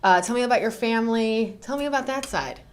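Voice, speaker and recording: A woman's voice: a little high, fast talker. A woman hosting an interview podcast in a studio, upbeat and eager, with plenty of "um" and "uh". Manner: upbeat, eager, many fillers. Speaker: podcast host.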